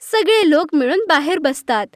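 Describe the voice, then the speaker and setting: A woman's voice: high-pitched, lively intonation, moderate pace, no echo, clean studio sound. A woman narrating a scripted children's story.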